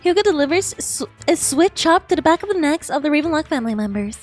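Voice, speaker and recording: A woman reacting live to a game as a streamer, chatty and exclamatory. Her high voice jumps around a lot, with faint music behind it. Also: animated, fast talker. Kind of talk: casual live reaction commentary.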